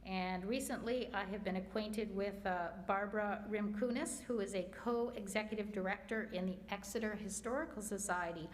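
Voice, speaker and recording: A woman's voice: mid-range voice, normal speed, hardly any pauses. A woman moderating a community forum, talking casually with fillers as she introduces the next speaker. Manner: chatty with fillers and restarts.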